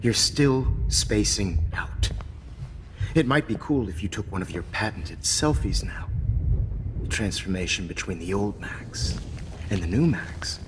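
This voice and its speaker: Slow, low-voiced sinister teacher. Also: male voice, animated. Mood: mocking, calm. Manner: condescending, drawling, slipping into hushed whispers. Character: smug, menacing male photography teacher and kidnapper, coldly controlling. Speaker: villain. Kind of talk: cinematic video game acting. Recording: hall-like reverb, clear background noise.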